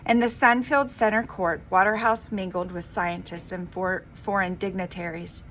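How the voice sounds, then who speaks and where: A woman reading a children's storybook aloud like a teacher, with an expressive, emphatic delivery. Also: mid-range voice, moderate pace. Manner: dramatic, emphatic delivery with informal asides. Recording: clearly noisy homemade recording.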